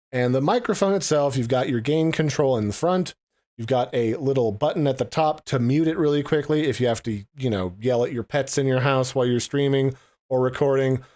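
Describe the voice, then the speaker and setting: A man's voice: mid-range voice, a little mellow. Casual man reviewing tech gear. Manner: conversational, informal, explanatory. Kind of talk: casual unboxing and review narration.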